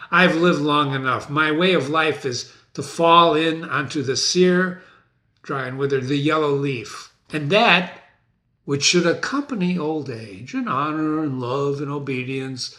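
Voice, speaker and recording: A defiant tyrant king of the tragic stage, acted out by a man reading aloud. His pitch is raised and swings widely through commanding Shakespearean verse. He is world-weary and raging by turns, taking his time over the words. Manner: commanding, dramatic, with sudden shouts.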